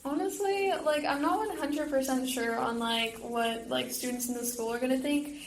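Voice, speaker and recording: A woman's voice: high-pitched, moderate pace, a crowd in the background. A teenage girl chatting casually as a theater student guest in an interview, saying "like" very often. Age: teens. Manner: filler-heavy, frequent "like". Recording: echoey room with faint noise.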